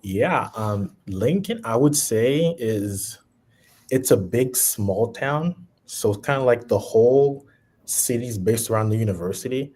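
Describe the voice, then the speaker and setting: A man in his late twenties with a Haitian accent, a podcast guest chatting in a relaxed way with frequent ums. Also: medium pitch, measured pace, slightly rough. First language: Haitian Creole. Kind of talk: casual chat.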